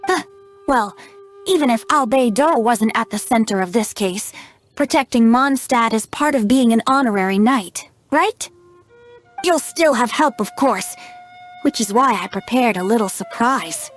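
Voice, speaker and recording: A woman's voice: fairly high pitch, expressive, a little slow, soft background music. A woman voicing a youthful male bard in a video game, playful and sly, with a lilting, poetic, teasing delivery. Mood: playful, earnest. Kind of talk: English video game voice acting.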